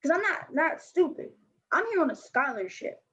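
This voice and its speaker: A woman's voice: high voice, sing-song, moderate pace, sharp tone, heavily acted. A woman playing a blunt, slangy, defensive fourteen-year-old girl skateboarder in a staged play reading. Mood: agitated, pleading. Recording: dull lo-fi recording.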